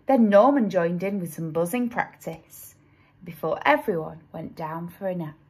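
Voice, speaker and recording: A woman narrating a children's story expressively, with dramatic, drawn-out emphasis on key words. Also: fairly low pitch, lively intonation, darkish tone, slightly rough. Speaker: storybook reader.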